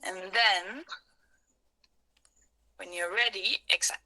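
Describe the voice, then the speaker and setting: Bright-voiced Argentine woman leading a workshop. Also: medium pitch, takes it slowly, rough recording. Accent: Argentine Spanish. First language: Spanish. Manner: searching for words, restarts phrases, hedges with "sort of". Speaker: workshop facilitator. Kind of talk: live workshop talk to hackathon participants.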